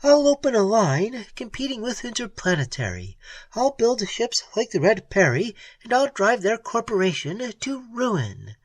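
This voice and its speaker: A woman's voice: mid-range voice, big pitch swings, a little slow, no echo. A reader voicing a fierce, vengeful young woman pirate captain who speaks in a sarcastic, blazing manner, in an audiobook. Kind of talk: audiobook dialogue.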